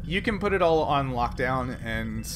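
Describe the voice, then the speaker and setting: A man serving as game master who narrates the scene in a relaxed, explaining way and laughs easily. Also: medium pitch, a little slow, a little hiss or hum.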